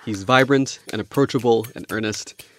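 A man's voice: medium pitch, normal speed, a little hiss or hum. A man hosting a podcast, reading scripted narration. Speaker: podcast host and interviewer. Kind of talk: scripted narration with interview chat.

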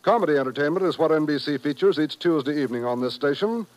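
Slightly high-voiced American radio announcer, male. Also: quick pace, a little mellow, dry recording. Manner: formal, polished salesmanship. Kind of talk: 1950s radio announcing, scripted promotion.

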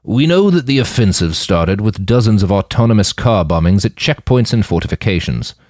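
A man voicing a grave, gruff old man with a gravelly voice in an audiobook story. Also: lowish voice, animated, moderate pace, darkish tone, dry recording, studio quality, lightly acted. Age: older. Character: grave, gruff old male documentary filmmaker.

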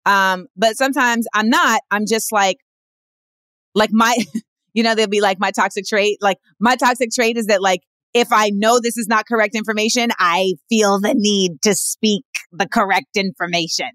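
A woman guest with a general American accent, speaking in a candid interview in a direct, emphatic way, with quick asides. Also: fairly even intonation, a bit bright, no echo. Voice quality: says she has a deep voice.

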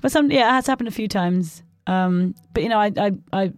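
Female podcast co-host, low gravelly voice, wry and self-deprecating, dry studio sound. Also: brisk, no room sound. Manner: wry, self-mocking, laughs often. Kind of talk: casual chat. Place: podcast room.

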